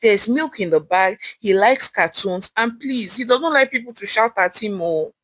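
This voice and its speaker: A woman's voice: medium pitch, expressive, moderate pace, a bit bright, no echo. A woman storyteller voicing a harried working mother with a Nigerian accent, pleading and chattering in a hurry. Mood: hurried, pleading.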